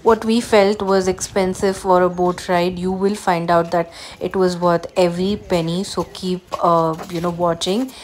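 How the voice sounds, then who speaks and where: A woman's voice: on the low side, flat delivery, normal speed, hardly any pauses. A young adult woman with an Indian accent, narrating a travel vlog in a casual way. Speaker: travel vlogger.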